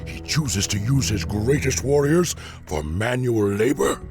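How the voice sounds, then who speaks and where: An actor playing a proud, bloodthirsty veteran orc chieftain in a video game, with a brooding, menacing growl. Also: male voice, unhurried, lightly acted. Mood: defiant, resentful. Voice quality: very deep, gravelly growl. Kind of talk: video game cinematic acting. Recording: game music behind the voice.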